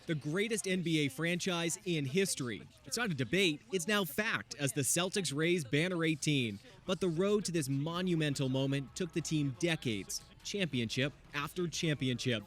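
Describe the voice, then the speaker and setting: A man's voice: a little high, expressive, moderate pace, few pauses, fairly bright tone. A man narrating a scripted TV news feature as a reporter.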